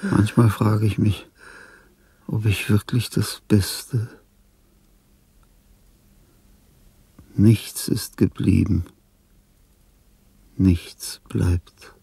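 Deep, rasping, brooding old man. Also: fairly even intonation, mellow, no room sound, exaggerated voice. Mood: melancholy. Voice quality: gravelly, very husky. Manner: measured, pronouncing bleak aphorisms. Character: ailing old artist, brooding, resigned, disdainful. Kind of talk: radio drama inner monologue.

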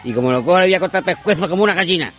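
A man's voice: high voice, animated, racing, a bit bright, lo-fi sound, faint music behind. A man who plays drums in a punk band, ranting in an interview in a blunt, scornful, insulting way. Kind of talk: interview rant.

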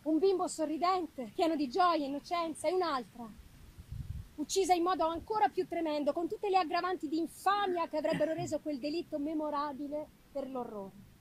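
High-pitched woman solemnly reading gothic fiction. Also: fairly quick, slight background noise, poor audio. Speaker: reader. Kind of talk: dramatic literary narration of gothic novel. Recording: music behind the reading. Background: live music.

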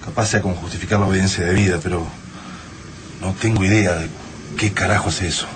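An actor playing a troubled but resolute survivor in a radio drama, halting and tense, with an Argentine accent. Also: male voice, deep voice, fairly even intonation, noisy background, close to an everyday voice. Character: Juan, troubled, resolute man surviving an invasion.

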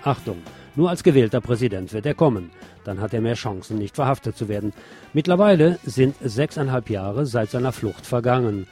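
A man presents scripted news in a mellow, relaxed voice at a middle pitch. Faint music runs beneath him as he moves through reports and pointed commentary. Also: brisk, breathy edge.

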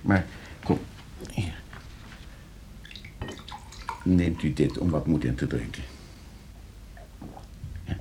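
A man's voice: a bass voice, slow pace, dark tone, slight background noise. An actor playing a polite, scheming professor in a radio play, precise in his speech but prone to fluster. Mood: brisk, conspiratorial. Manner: polite, precise when instructing, stammering when questioned. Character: scheming, flustered professor plotting against his uncle for inheritance.

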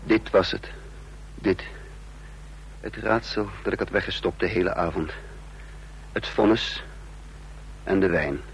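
An actor playing a haunted, confused man caught in espionage, narrating in brooding, halting fragments in a radio drama. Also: on the low side. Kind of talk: Dutch radio drama acting, introspective narration. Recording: noisy old radio play recording.

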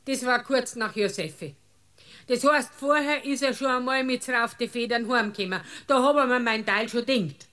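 A high female voice acted by a woman as an earthy Bavarian countrywoman in a radio comedy. She is brazen and talkative, telling things in a folksy, blunt, aggrieved way. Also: moderate pace, fairly bright tone, natural voice. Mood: indignant. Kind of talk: comic radio drama acting.

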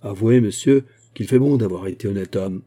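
A shrewd bishop who was once a musketeer, acted out by a male narrator. He speaks solemnly and with measured authority. The voice is very dark and husky, as in a storybook adventure. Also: fairly low pitch, close to an everyday voice. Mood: probing, courteous.

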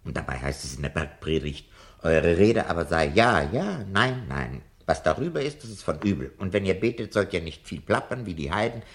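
Elderly bookish cynic for radio play: gravelly low voice, ruminative, theatrical. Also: male voice, lively intonation, moderate pace. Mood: sardonic, declaiming. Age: older. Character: disillusioned elderly bookish man, self-confessed coward. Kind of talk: 1970 German radio drama acting.

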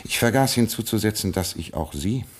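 A man playing an absent-minded British lord in a radio play, speaking formally and with dry, resigned understatement in a gravelly voice. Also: fairly low pitch, expressive, a little fast. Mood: stately, composed. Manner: formal, hesitant, stately, dryly understated. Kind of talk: German radio play acting.

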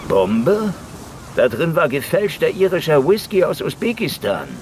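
An actor playing a world-weary, hard-drinking private detective in a radio play, wry and halting. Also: male voice, fairly high pitch, sing-song, slight background noise. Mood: wary, defiant. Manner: halting, wry, noir drawl. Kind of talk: German radio play acting. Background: loud music and vehicle sounds.

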